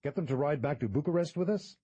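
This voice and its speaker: A man's voice: fairly high pitch, animated, fast, mellow, slightly rough, voice pushed up. Man doing a young woman, urgent, pressing, lightly raised voice. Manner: urgent, questioning. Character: alarmed young woman companion.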